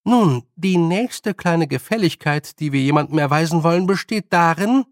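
Audiobook role: bossy, good-humoured old witch woman played by a man, high voice, animated, stern and teasing. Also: moderate pace, dry recording, studio quality. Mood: brisk, loud. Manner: loud, stern, teasing, colloquial scolding. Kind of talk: audiobook character voice.

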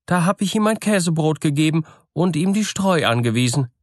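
A man acts out a storybook miller's wife in a very high, plaintive voice. She sweet-talks and wheedles with exaggerated innocence, her pitch swooping about. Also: expressive, brisk, no room sound, clean studio sound, close to an everyday voice. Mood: wheedling, excusing.

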